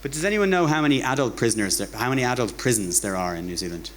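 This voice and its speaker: A man's voice: mid-range voice, lively intonation, very fast, fairly bright tone, a little hiss or hum. An Irish-accented man giving an informal public lecture in a conversational, wry way, often repeating words as he goes. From Ireland. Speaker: academic giving a public talk. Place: bar hosting a talk night.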